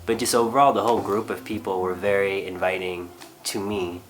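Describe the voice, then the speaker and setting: A man in his thirties recalling how he met his wife, speaking in a halting casual way with many restarts and fillers. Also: sits low, flat delivery, a little hiss or hum. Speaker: guest, host's husband. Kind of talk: casual recollection.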